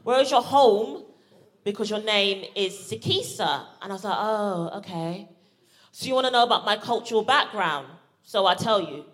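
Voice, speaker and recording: A woman's voice: medium pitch, animated, normal speed, fairly bright tone. A woman from Barbados with a South London accent, doing a brash, punchy stand-up set at a live comedy show. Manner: brash, punchy, playful with the crowd. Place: live comedy venue with audience. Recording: live show with audience laughter.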